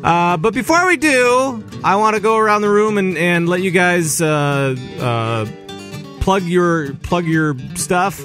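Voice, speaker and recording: A man's voice: sits high, animated, slow, a bit bright, soft background music. A man hosting a casual gaming podcast, upbeat and rambling, with plenty of filler words. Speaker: podcast host.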